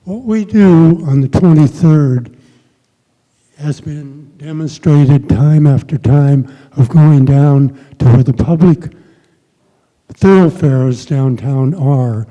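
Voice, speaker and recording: A man in his seventies making a deliberate public appeal as an activist at a meeting, phrase by phrase, on a handheld microphone. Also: on the high side, dark tone. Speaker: activist addressing a community meeting. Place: large synagogue hall, indoors. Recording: dull, echoing hall sound.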